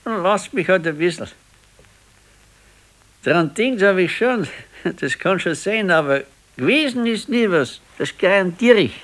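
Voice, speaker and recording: A man's voice: sits high, expressive, a little slow, long pauses, a bit bright. An actor playing a sickly, wistful grandfather in his sixties in a radio play, musing in short colloquial phrases with a Bavarian accent. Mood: defensive, sheepish. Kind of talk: naturalistic radio play dialogue.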